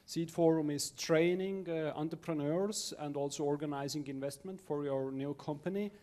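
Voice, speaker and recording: Hesitant seminar moderator, slightly high voice. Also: male voice, moderate pace, warm tone. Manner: hesitant, many fillers, non-native phrasing. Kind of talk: introducing a speaker.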